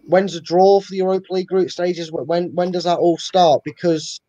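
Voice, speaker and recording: A young man talks football casually and with firm opinions. His voice sits a little high yet has a dark, relaxed tone, recorded dry and slightly dull over a video call. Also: normal speed, dry recording. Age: young adult. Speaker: fan channel co-host. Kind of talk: casual football post-match chat. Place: living room at home, indoors. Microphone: video call, webcam.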